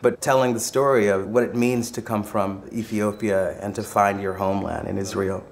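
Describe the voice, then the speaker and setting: A man narrating a documentary in a measured, reflective and articulate way. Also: fairly low pitch, steady tone, gravelly. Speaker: visual artist presenting the film.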